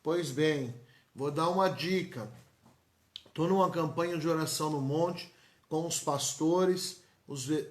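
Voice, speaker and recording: Echoey-voiced São pastor. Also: male voice, a little high. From São Paulo, Brazil. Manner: emphatic and repetitive, folksy asides to viewers. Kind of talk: live-streamed church announcements and blessings. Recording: echoey church room.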